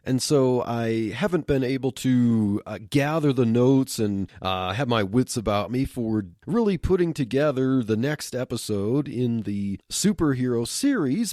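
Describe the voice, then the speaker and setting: Mellow male podcast host, lively pitch. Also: no echo, studio quality. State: recovering from a head cold. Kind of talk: solo podcast intro, conversational.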